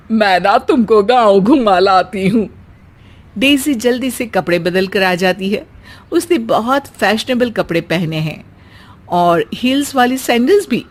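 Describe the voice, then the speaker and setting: A woman giving scripted narration for a story as its narrator. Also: mid-range voice.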